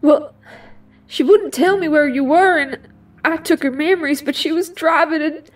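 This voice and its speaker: Woman playing high-voiced superhero gal. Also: moderate pace, far from the mic, natural voice. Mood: guilty, tearful. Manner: folksy, heartfelt. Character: Rogue, young Southern female mutant superhero, emotional. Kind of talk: audio drama acting.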